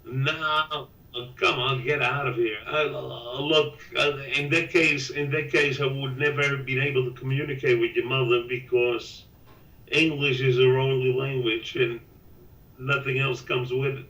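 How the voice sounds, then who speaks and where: An older man, a father, talks candidly with his son in a documentary interview, halting and repeating himself. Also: mid-range voice, little pitch movement, pushed and bright, recorded from a distance. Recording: echoey room, away from the mic.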